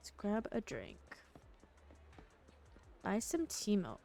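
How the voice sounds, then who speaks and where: Playful woman streamer with a mid-pitched voice. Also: wildly swooping pitch, slow, creaky, warm tone, soft background music. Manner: playful, informal. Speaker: game streamer. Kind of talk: casual live game commentary.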